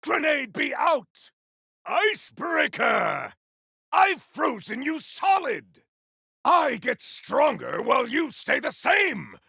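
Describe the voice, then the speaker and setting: An actor playing a bombastic, vengeful warrior hero in a video game, declaiming battle cries in a theatrical, archaic, grandiose way with a gravelly, raspy voice. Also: male voice, very high voice, huge pitch swings, slow, sharp tone, no echo, raised voice, studio quality, full character voice. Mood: fierce, bombastic. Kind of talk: video game combat barks.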